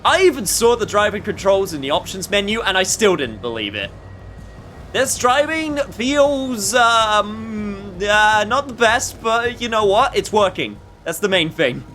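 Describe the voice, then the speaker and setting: Casting a wry male streamer for casual gameplay chat, fairly high voice, very expressive intonation. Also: unhurried. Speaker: streamer playing a game. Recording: faint game music behind.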